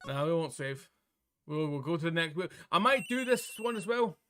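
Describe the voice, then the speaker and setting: Casual male streamer, slightly high bright voice, sweary, music behind. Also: animated, moderate pace. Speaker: live streamer. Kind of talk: casual game-stream chat.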